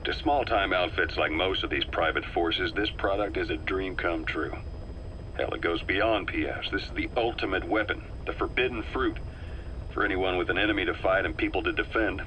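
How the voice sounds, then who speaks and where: An actor playing a wary, embittered mercenary second-in-command in a video game, speaking in a grave, emphatic, dramatic way. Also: male voice, deep voice, normal speed, pushed and bright, a little hiss or hum, rough recording. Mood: grave, suspicious. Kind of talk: scripted video game dialogue.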